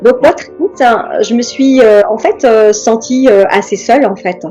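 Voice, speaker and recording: A middle-aged woman from France, speaking as an interview guest in a reflective, hesitant way with many pauses and restarts. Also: a little high, mellow, soft background music.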